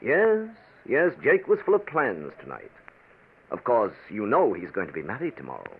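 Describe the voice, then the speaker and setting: An actor playing a cold, calculating gambler in a vintage radio drama, theatrical and smoothly manipulative. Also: male voice, fairly low pitch, wildly swooping pitch, normal speed, phone-quality sound, heavily acted. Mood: smooth, feigned sympathy. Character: cold, calculating gambler narrating his own sinister climb. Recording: noisy, band-limited vintage radio recording.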